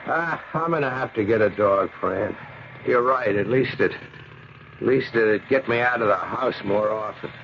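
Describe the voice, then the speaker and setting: Bitter, restless working-class husband. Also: male voice, on the low side, normal speed, a bit bright. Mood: irritable, glum. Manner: stammering, brooding working-man's talk. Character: bitter, restless injured male ex-riveter husband. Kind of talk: 1970s radio drama acting. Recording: dull, hissy old radio recording.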